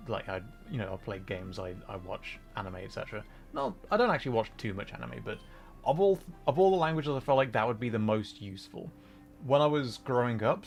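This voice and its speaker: Man, mid-pitched voice, casual game streamer, apologetic, self-deprecating, music playing behind. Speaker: livestream host.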